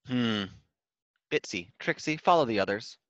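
A man's voice: mid-range voice, expressive, measured pace, a bit bright, no echo, dull audio, voice pushed up. A man voicing a busy, distracted mother quail who hums and bosses her chicks in a storybook. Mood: distracted, bossy. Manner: bossy, humming distractedly.

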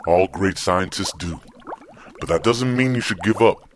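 A man's voice: sits low, a little slow, slight background noise. A man playing a kindly middle-aged father in a comedic audio drama, earnest and reassuring as he speaks. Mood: encouraging.

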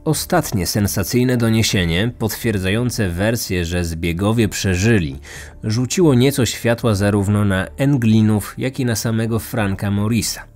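Low-voiced man narrating true crime. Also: darkish tone, faint music behind. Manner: measured, serious. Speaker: podcast host and narrator.

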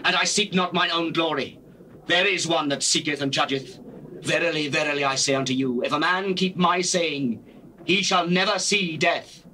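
An actor playing a solemn, authoritative holy teacher, declaiming scripture in an audio drama. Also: male voice, fairly high pitch, fairly even intonation, moderate pace, bright, forceful tone. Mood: firm, solemn. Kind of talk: dramatized scripture reading, stage-like acting. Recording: noisy old cassette tape recording.

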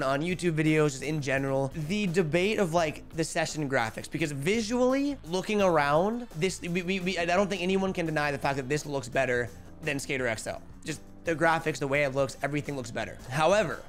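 A young man in his twenties streaming a skateboarding game, chatty and energetic, calling out his tricks, a bit hoarse. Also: on the high side. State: losing his voice. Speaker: gaming streamer. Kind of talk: live gameplay commentary. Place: home streaming room. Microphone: headset.

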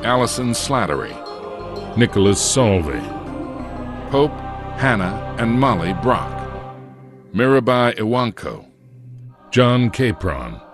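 A man reading scripted production credits as an announcer in a measured, formal way. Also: medium pitch, sing-song. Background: faint music.

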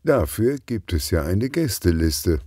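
A man's voice: low voice, big pitch swings, a little slow, warm tone, no room sound, studio quality, full character voice. A man voicing a cheerful, chatty, teasing woman in a children's story, explaining things in a friendly way.

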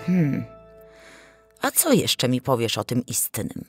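A woman's voice: extremely low pitch, big pitch swings, slow pace, a little mellow, faint music behind, pitched below the performer's own voice, heavily acted. A woman voicing a wary, questioning middle-aged village mother in a fairy tale. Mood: probing.

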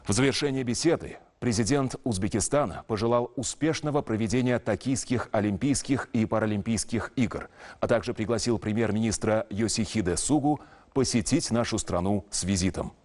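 A man's voice: fairly low pitch, a little mellow. Formal male news anchor. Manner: measured, official, clearly enunciated delivery. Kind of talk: formal scripted news reading. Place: television news studio.

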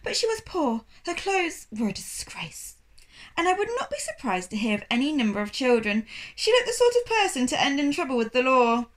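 A sneering French shop assistant from a children's storybook, acted out by a woman reader. He is haughty and dismissive, disdainful of everyone, and pitched on the high side. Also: very animated, fairly quick.

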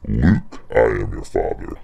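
A menacing film villain speaks in an extremely low, very gravelly voice. The delivery swings in pitch under a digital downshift effect with echo. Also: male voice, expressive, measured pace, warm tone, slight background noise, exaggerated voice. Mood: menacing, solemn. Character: deep, menacing dark-lord movie villain, pitch-shifted down. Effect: digital pitch shift downward with echo.

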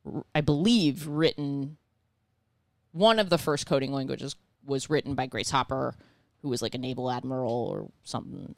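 A woman in her thirties with a deep voice, a comedian guest chatting casually on a podcast, brash and profane as she tells stories. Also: vocal fry, no room sound, clean studio sound. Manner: brash, profane, animated storytelling. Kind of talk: casual chat. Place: small living room podcast set. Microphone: handheld mic, headphones.

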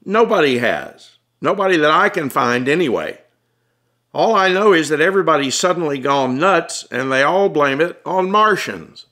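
A man's voice: on the high side, expressive, normal speed, bright, forceful tone, voice pushed up. A man voicing an exasperated, authoritative policeman in an audiobook, talking bluntly with a raised, folksy voice. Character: exasperated, authoritative small-town traffic policeman.

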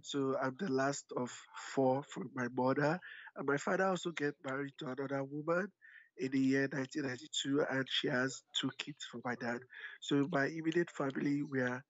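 A man's voice: medium pitch, steady tone, normal speed, dry recording. A man in his late twenties with a Nigerian accent, a guest answering interview questions about his background. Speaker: interview guest, author and activist. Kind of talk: casual interview. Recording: dry, slightly dull call line.

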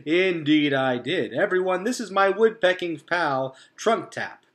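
A man voicing a jolly, confident male beaver handyman in a storybook reading, booming and slow. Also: lively intonation, a bit bright. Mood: hearty.

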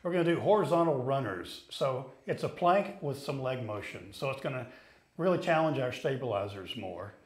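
A man's voice: mid-range voice, lively intonation, normal speed. Patient man coaching ski exercises. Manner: patient, explanatory, talks while moving. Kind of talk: exercise demonstration and explanation.

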